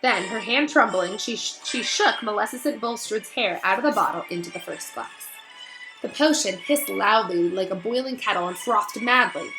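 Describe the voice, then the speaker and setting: Woman reading a kids book out loud, bright voice, bit echoey, faint music under it. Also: mid-range voice, normal speed. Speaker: storybook reader. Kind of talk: expressive read-aloud narration of a children's novel. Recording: roomy echo, faint music behind.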